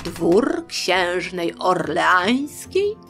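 A woman storyteller speaks in a playful, conversational style with highly animated pitch. She takes her time, as if telling history to young listeners, over faint music. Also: extremely slow. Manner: playful, conversational, uses diminutives.

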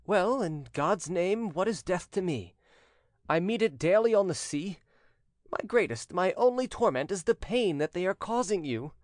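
Man playing an earnest, high-voiced young condemned son. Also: animated, normal speed, no echo, studio quality. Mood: resigned. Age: young adult. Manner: earnest, entreating, emotional. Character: young condemned son, noble, tender, resolute. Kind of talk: dramatic audiobook character reading.

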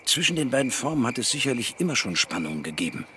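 An actor playing a weary male biologist narrating a science fiction radio play in a measured, matter-of-fact way. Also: medium pitch, very animated, background noise, natural voice. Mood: subdued, reflective. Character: male biologist Ian, weary first-person narrator of alien expedition.

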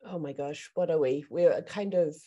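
A woman's voice: deep voice, normal speed, mellow, muffled recording. A woman moderating a panel on a video call from a bedroom at home, wrapping up in a chatty, slightly scattered way. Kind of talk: casual panel wrap-up. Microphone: headset on video call.

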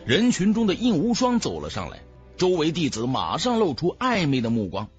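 A man narrating an audiobook in a dramatic, theatrical, expressive storyteller's manner. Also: a little high, normal speed, breathy edge, lo-fi sound, faint music behind.

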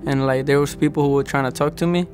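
A man's voice: a little high, steady tone, quick pace, music in the background. A young man recalling his experiences in an interview, hesitant and personal.

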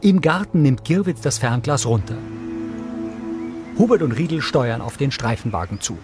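Male narrator over clear background music. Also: mid-range voice, animated, moderate pace. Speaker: audio description narrator. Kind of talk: scripted narration.

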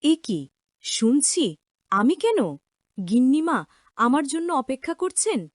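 A woman as a humble, animated young housemaid. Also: high-pitched, takes it slowly, no room sound, studio quality, exaggerated voice. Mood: amazed. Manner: deferential, emotional, wide-eyed. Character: humble, hardworking young orphaned housemaid, earnest. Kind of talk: cartoon story voice acting.

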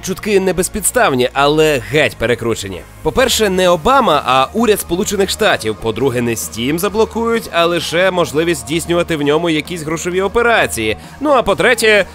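A man's voice: a little high, big pitch swings, fairly quick, few pauses, fairly bright tone. A man co-hosting a scripted video game news show, delivering banter and opinions with theatrical sarcasm. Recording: music bed behind the voice.

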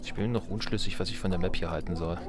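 A man's voice: sits low, fairly even intonation, fast. A man doing casual gameplay commentary, thinking aloud and muttering playful, wry asides to himself. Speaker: let's player.

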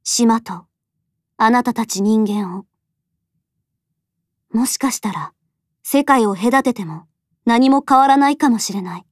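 A woman playing a solemn, resolute fox deity in an audio drama, speaking in a measured, deliberate way with sorrow underneath. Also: a little high, a little mellow, dry recording, clean studio sound. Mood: sorrowful, tender. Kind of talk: Japanese voice drama acting.

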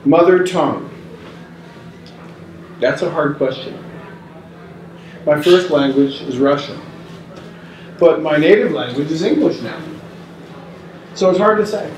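A man's voice: on the high side, lively intonation, darkish tone, slight background noise. Man reading at a distance, roomy. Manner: measured, unhurried reading with halting restarts. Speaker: performer reading interview notes. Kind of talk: reading recorded interview answers aloud. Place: small indoor gallery room with hanging paper strips. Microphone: far camera mic. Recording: roomy distant sound with faint ambience.

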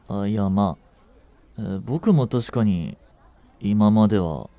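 An actor playing a young genius swindler in a romantic audio drama, lovestruck, jealous and boyishly flustered, speaking politely. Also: male voice, on the low side, mellow, no echo. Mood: flustered, earnest. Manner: polite speech, stammering when flustered. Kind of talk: romantic audio drama acting, addressed to the listener.

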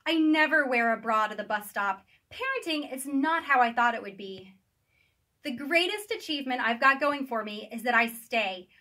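A middle-aged American woman reading her own humorous audiobook in a recording studio, with an animated, wry, emphatic delivery. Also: high voice. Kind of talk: scripted audiobook narration, comedic personal essay. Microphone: studio microphone on boom arm, wearing headphones.

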